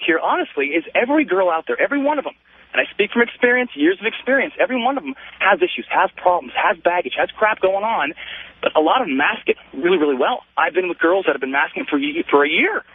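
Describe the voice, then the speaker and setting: A man rants as a radio caller in a high, lively voice that swings widely. He talks quickly and emphatically, listing his points. His voice is husky, coming through a thin telephone line. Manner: emphatic, repeats phrases in lists. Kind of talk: casual opinionated phone-in rant. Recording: tinny phone line.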